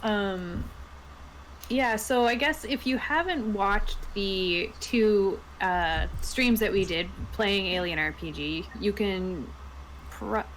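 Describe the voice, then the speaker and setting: A woman's voice: medium pitch, slow pace, fairly bright tone. Easygoing, wry woman on noisy livestream audio. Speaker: co-host of a roleplaying stream. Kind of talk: casual livestream chat.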